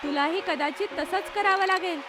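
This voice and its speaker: Very squeaky, supportive cartoon schoolgirl. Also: normal speed, noisy background. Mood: urging. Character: supportive, caring little schoolgirl Chinki. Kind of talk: children's cartoon dubbing.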